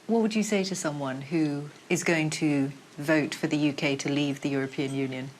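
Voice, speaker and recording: Low-voiced woman field reporter. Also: steady tone, normal speed, slight background noise. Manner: direct, questioning. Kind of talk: field interviewing.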